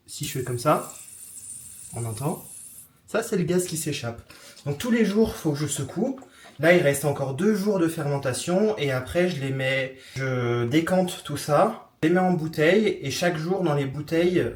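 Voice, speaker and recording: A man's voice: a little high, expressive, moderate pace. A young man talking casually to camera in a vlog, rambling with lots of fillers and restarts. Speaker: vlogger.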